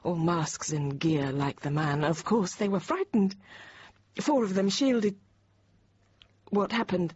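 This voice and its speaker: An actress playing a thirty-year-old Parisian teacher, recalling an ordeal in measured, halting, controlled radio drama narration. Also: female voice, lowish voice, darkish tone, breathy edge. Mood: tense recollection. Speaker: lead actor and narrator. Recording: dull, noisy radio drama recording.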